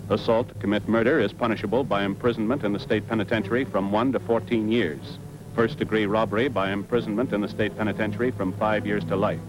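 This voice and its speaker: Man as grave radio drama announcer, lowish voice, quick, formal, scripted, music underneath. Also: steady tone, natural voice, rough recording. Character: formal, grave male announcer reading trial results.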